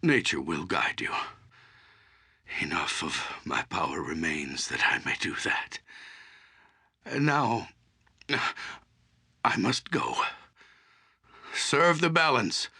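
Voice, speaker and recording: An actor playing an aged, solemn druid in a fantasy game, with a husky, breathy voice and a halting delivery. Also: male voice, low-pitched, very animated, pushed and bright, exaggerated voice. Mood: weak, dying.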